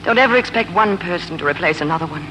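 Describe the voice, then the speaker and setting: Frank wife in lively 1940s radio drama. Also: female voice, fairly low pitch, fast. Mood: frank, gentle. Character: candid, steady young wife in a romantic drama. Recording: noisy, humming 1940s radio broadcast.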